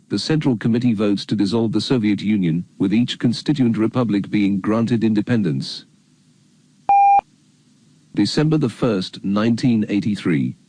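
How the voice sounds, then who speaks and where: A man reading scripted narration for an educational documentary filmstrip in a grave, measured, matter-of-fact manner. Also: fairly low pitch, mellow.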